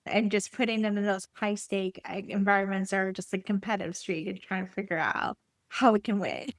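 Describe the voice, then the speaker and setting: A woman's voice: mid-range voice, steady tone, a little fast, no echo. A woman hosting a casual, chatty book interview in an enthusiastic, gushing way. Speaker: podcast host interviewing an author.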